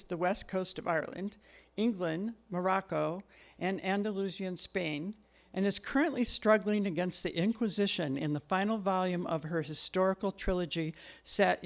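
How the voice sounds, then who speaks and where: A woman hosting a live community event, reading a prepared introduction aloud into a stage microphone. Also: fairly low pitch. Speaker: event host introducing a writer.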